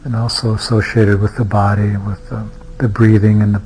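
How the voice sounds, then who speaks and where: Over clearly audible music, a man guides a meditation in a low-pitched, very even voice. He takes his time, leaving long gaps between phrases and sometimes restarting a thought hesitantly. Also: normal speed, rough recording. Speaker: meditation teacher.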